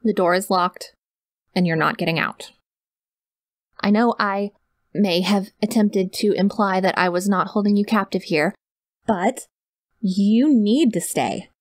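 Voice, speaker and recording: A woman's voice: medium pitch, unhurried, pauses often, dry recording, studio quality, natural voice. A woman playing a sweet, awkward, clingy young yandere kidnapper in an ASMR audio roleplay, rambling and gushing straight to the listener. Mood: anxious, insistent.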